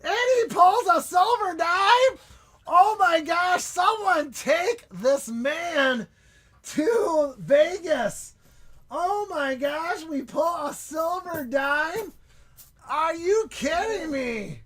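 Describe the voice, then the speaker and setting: A man hosting a live stream in casual chat, excitable and prone to loud shouts and laughter, and losing his voice. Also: extremely high pitch, extremely slow. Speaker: livestream host.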